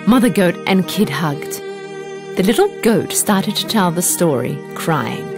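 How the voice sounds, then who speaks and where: A woman narrating a children's fairy tale in an expressive, dramatic storytelling manner. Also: fairly low pitch, a little slow, over music.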